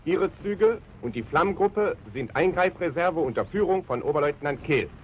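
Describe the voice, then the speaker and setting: An actor playing a stern German army commander giving a clipped, formal military briefing, on an old 1940s film soundtrack. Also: male voice, on the high side, animated, normal speed, close to an everyday voice. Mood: commanding. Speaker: regimental commander briefing officers. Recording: dull 1940s film soundtrack with faint hiss.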